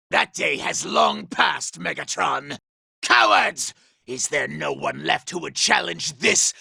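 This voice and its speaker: Screechy gravelly evil robot commander from a game, super vain and petulant, really piercing and swoopy. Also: male voice, on the high side, bright, forceful tone, no echo, studio quality, full character voice. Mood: outraged. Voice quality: raspy, gravelly. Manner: imperious, theatrical, petulant. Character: scheming, vain, power-hungry robot commander, male villain. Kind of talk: video game villain voice acting.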